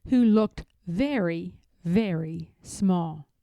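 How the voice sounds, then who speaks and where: A woman's voice: on the low side, extremely slow, gravelly, dark tone, no room sound. A woman reading a children's storybook aloud as the storyteller.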